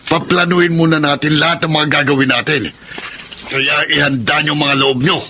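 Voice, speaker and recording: Bossy, sharp-voiced crook. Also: male voice, on the high side, fairly even intonation, normal speed, slight background noise. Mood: cocky, scheming. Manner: domineering, impatient, cocky. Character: bossy, scheming gang leader planning a burglary. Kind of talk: Tagalog radio drama acting.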